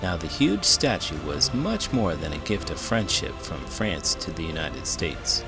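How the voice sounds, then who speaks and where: Man, deep gravelly voice, expressive storybook read-aloud, emphatic phrasing, music behind. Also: a little slow, rough recording. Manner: dramatic, emphatic delivery. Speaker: children's book read-aloud host.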